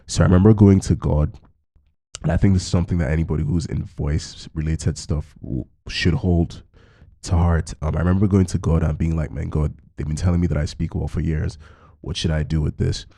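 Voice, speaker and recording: A man with a low voice and a gravelly, rough edge to it. He sounds dark in tone and talks in a meandering, reflective way, circling back on his points and filling the gaps with "like" and "you know". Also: steady tone, brisk. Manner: musing, loose, peppered with fillers. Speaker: podcast host. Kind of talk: reflective conversational chat.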